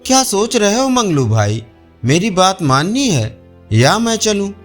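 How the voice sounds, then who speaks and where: A man's voice: high-pitched, very animated, a little slow, faint music behind. A man voicing a scheming male monkey in a storybook tale, coaxing and persuasive.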